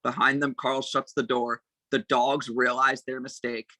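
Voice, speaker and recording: A man's voice: medium pitch, flat delivery, a little slow, pushed and bright, no room sound. A man reading stage directions as scripted narration at an informal table read.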